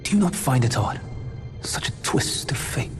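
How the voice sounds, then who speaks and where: A man's voice: medium pitch, expressive, moderate pace, natural voice. A man playing a scheming, arrogant immortal suitor in a fantasy game, with formal, theatrical and courtly speech. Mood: grave, persuasive. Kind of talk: dramatic fantasy game acting. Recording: music behind the voice.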